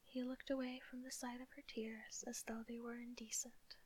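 A woman narrates a novel entirely in a whisper. Her voice is breathy and husky, pitched a little high, and stays flat and even. The reading is steady and soft. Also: moderate pace.

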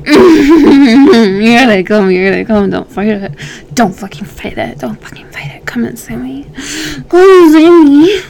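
A woman playing an obsessive, possessive young female lover in an audio roleplay, slow and gravelly, swinging between hushed and loud. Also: a little high, lively intonation. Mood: frantic, shouting.

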